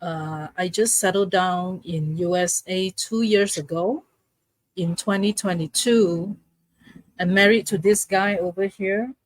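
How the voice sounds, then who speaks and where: A woman's voice: lowish voice, unhurried, dry recording, rough recording. A middle-aged woman with a Malaysian accent, chatting casually as a guest. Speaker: guest, stay-at-home wife. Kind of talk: casual self-introduction.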